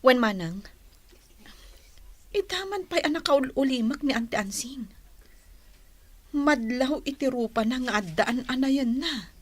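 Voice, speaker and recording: An actress with a Filipino accent playing a gentle, caring young lady of the house in a radio drama. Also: high voice, lively intonation, slow pace, pauses often, exaggerated voice. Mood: concerned.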